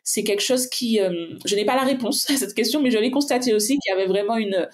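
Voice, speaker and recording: A woman's voice: on the high side, quick pace. A woman guest in a casual conversation, a finance coach who talks pragmatically and thinks aloud with frequent restarts. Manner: reflective, pragmatic, many restarts and fillers while thinking aloud.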